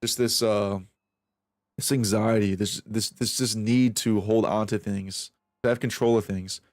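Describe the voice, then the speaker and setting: A man's voice: fairly low pitch, steady tone, a little slow, darkish tone, no echo, clean studio sound. A man in his twenties streaming late at night, rambling casually and profanely about practice, pressure and backup plans. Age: 20s. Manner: rambling, profane, self-reflective, restarts a lot. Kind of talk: casual stream chat while aim training.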